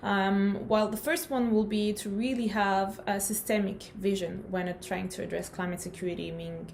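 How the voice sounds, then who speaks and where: A woman's voice: mid-range voice, fairly even intonation, moderate pace, creaky, darkish tone. A woman, sharing ideas loosely and thinking aloud. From Paris, France. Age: 20s. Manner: thinks aloud, fillers and restarts. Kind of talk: spontaneous personal statement. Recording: faint ambient noise.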